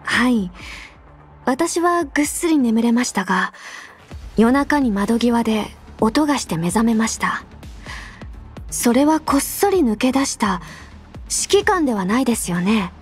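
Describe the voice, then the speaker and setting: Prim, high-voiced young anime adjutant. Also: female voice, expressive, measured pace, long pauses. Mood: polite, gently scolding. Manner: formal, polite, prim. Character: earnest, rule-bound young female adjutant, politely caring. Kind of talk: Japanese anime game voice lines, intimate delivery. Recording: background music under the voice. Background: music.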